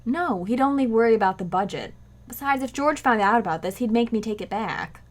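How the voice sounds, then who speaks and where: Woman playing a breezy scatterbrained housewife, higher voice. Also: lively intonation, brisk, close to an everyday voice. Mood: matter-of-fact. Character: Liz, scatterbrained cheerful young housewife, breezily evasive. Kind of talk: 1940s radio comedy acting.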